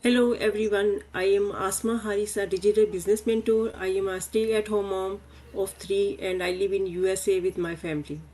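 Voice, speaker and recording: A woman, a business mentor, introducing herself and reading the topic slowly with some stumbles on an online panel. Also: mid-range voice, fairly even intonation, dark tone, poor audio.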